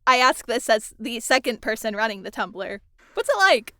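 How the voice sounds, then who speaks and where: A woman's voice: on the high side, very animated, normal speed, pushed and bright, no room sound. Chatty woman interviewer, dry close mic. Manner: chatty, friendly, laughs between thoughts. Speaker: interviewer and dialogue editor. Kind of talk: casual interview chat.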